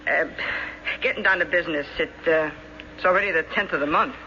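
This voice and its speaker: A woman's voice: low voice, brisk, bright, forceful tone. A woman playing a blunt, wisecracking working-class landlady in a radio drama, colloquial and wry. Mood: scolding. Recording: slightly noisy, dull old radio broadcast.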